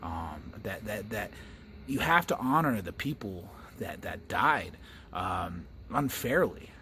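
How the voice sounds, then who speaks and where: A low-voiced American man shares personal reflections in a storyteller's style. He feels his way through sentences, repeating small words and pausing to search. Some background noise sits under his voice. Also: very animated, sharp tone. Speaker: comedian and storyteller.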